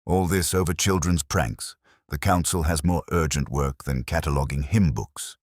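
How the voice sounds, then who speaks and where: A man's voice: extremely low pitch, moderate pace, no echo, clean studio sound. A man voicing a pompous, grumbling, dismissive male town councillor in a mystery story.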